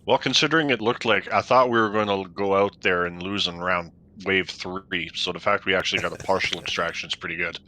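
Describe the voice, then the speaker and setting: A man's voice: deep voice, a little fast, few pauses, sharp tone, rough recording. A man chatting casually with a fellow player during a game. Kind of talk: casual chat while gaming.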